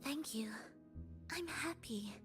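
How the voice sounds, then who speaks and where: An actress playing a sorrowful, soft-spoken game character hardened by loss, speaking in a measured, solemn and sometimes hushed way. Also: female voice, high voice, lively intonation. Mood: grateful. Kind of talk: dramatic game voice acting. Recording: voice under prominent string music. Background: violin music.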